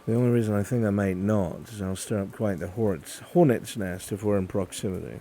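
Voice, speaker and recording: A man's voice: on the low side, fairly quick, mellow, slight background noise, close to an everyday voice. A man playing a brusque, wary fantasy officer with a clipped, formal, commanding manner in a live roleplay. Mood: wary, gruff.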